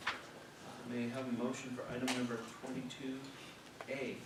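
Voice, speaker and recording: A man's voice: medium pitch, monotone, moderate pace. A man chairing a board meeting in formal, formulaic procedural phrases, recorded from a distance in a meeting room. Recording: noisy echoey meeting room, distant microphone.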